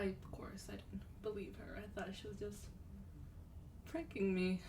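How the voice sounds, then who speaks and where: A woman playing a sweet, teasing vampire demon in a gentle, breathy, partly whispered ASMR roleplay. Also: mid-range voice, close to an everyday voice. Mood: wry, musing. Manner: gentle, polite, unhurried, coaxing. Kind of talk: soft-spoken ASMR roleplay. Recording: distant mic, clear background noise.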